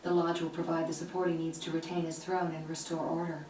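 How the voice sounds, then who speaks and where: Woman acting a brave calm game sorceress, lowish voice, echoey with faint music. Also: fast talker, distant mic, a little hiss or hum, natural voice. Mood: composed. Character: confident, loyal female sorceress, composed and brave. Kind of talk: video game cutscene acting.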